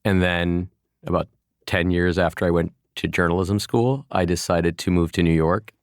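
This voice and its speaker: A man's voice: deep voice, fairly even intonation, normal speed, no echo, clean studio sound. A man in his forties from Chicago, a former journalist being interviewed, talking thoughtfully with frequent restarts and fillers. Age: 40s. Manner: thoughtful, many restarts and "you know" fillers. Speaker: guest, former journalist turned food entrepreneur. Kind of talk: casual interview conversation.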